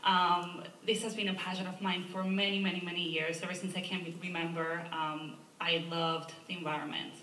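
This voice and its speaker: A woman's voice: on the low side, level tone, normal speed, few pauses, pushed and bright. A woman from Argentina with an Argentine Spanish accent, giving an earnest panel statement about her advocacy work and often tagging "right?" onto her points. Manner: earnest, with rhetorical "right?" asides. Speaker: environmental advocacy director, panelist. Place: museum lecture hall. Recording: echoey room, mic far off.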